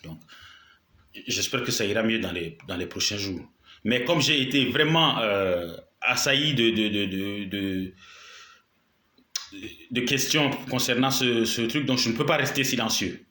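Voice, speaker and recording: A man's voice: fairly low pitch, expressive, a little slow, bright, forceful tone, echoey room. A man with a cold and a sore throat, giving an indignant video statement and repeating his points for emphasis. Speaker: political commentator.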